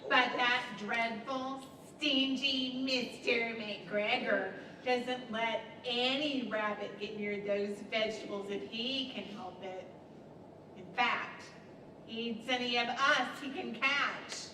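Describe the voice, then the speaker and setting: A woman playing a wary, grudging young rabbit sister with a reproving, deliberate manner, in radio-play style. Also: on the high side, fairly even intonation. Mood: indignant. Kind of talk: 1940s radio-style stage acting. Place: library event room, indoors, live stage. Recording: roomy, distant, clearly noisy.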